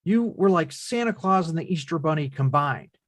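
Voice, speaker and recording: An earnest, frustrated grown son played by a man, with a slightly high voice. The sound is close and dry, and the delivery is naturalistic drama from a script read. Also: lively intonation, moderate pace, a bit bright, dry recording. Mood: bitter, accusing. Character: frustrated, earnest adult son confronting his father. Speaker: actor in a screenplay table read.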